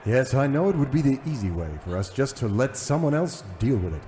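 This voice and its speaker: Animated heroic cowboy leader played by a man, noisy recording. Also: mid-range voice, a little fast, big-room sound, lightly acted. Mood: earnest, noble. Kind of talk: parody of old-time radio serial acting.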